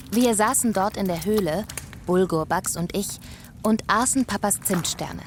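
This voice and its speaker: A woman's voice: medium pitch, natural voice. A woman playing a young heroine who narrates her space adventure in a reflective, wistful, scripted first person.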